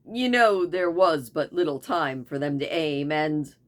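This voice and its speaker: A woman's voice: low-pitched, lively intonation, a little slow. A woman voicing a gallant young male goat hunter who speaks in a light, joking way. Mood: wry.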